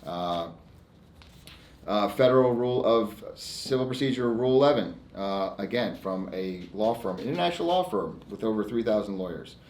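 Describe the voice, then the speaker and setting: A man's voice: lowish voice, animated, moderate pace, a little mellow. An American man, a lawyer presenting his own segment in an emphatic, defiant, informal monologue with frequent stops and repeats. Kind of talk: informal monologue to camera, reading out e-mails. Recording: echoey room.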